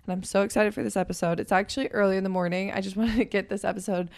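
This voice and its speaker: A hoarse young woman in her twenties hosting a podcast in a chatty, upbeat way, her voice raspy from just waking up. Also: mid-range voice, fairly even intonation, very fast, darkish tone. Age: 20s. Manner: chatty and upbeat, with frequent fillers. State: hoarse from waking up and a weekend of talking. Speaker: podcast host and personal trainer. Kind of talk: casual chat.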